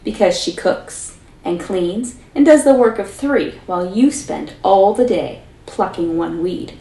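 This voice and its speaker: A woman's voice: medium pitch, lively intonation, unhurried, gravelly, warm tone. A woman voicing a poor, frightened old male farmer in a read-aloud storybook, pleading with his voice a little raised. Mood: scolding, pleading. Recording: roomy, mic at a distance.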